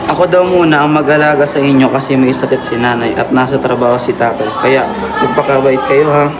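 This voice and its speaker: A man's voice: medium pitch, steady tone, a little fast, over a noisy crowd, heavy background noise, natural voice. A man with a Filipino accent playing a dutiful, caring eldest son in an amateur radio drama. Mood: caring, responsible. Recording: loud music under the voice.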